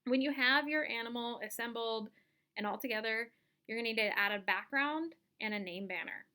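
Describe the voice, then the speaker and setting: A woman giving a casual step-by-step software tutorial, thinking aloud as she demonstrates each action. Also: fairly high pitch, brisk. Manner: informal, instructional, thinking aloud while demonstrating. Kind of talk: casual step-by-step screen-recorded lesson.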